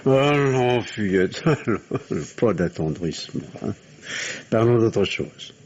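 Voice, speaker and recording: Husky fatherly country doctor, swooping pitch. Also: expressive, takes it slowly, darkish tone. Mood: modest, kindly. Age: middle-aged. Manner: hesitant, paternal. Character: weary, fatherly country doctor, hesitant and evasive. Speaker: actor in a radio mystery play. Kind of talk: old French radio drama acting. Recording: dull, lo-fi old radio recording.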